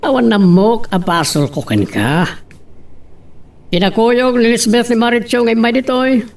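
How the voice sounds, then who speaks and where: A woman playing a firm, reproachful middle-aged mother in an audio drama, speaking in a measured, reproving way. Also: a little high, darkish tone, recorded from a distance. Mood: matter-of-fact, exasperated. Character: mother defending her choosy daughter, firm, reproachful. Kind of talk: Ilocano radio-style drama acting.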